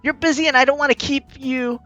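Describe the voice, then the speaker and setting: A man's voice: very high voice, fairly quick, dry recording, lo-fi sound. A man hosting a let's play, expressively reading game text aloud and commenting, on a close mic with music behind. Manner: expressive, acts out lines as he reads.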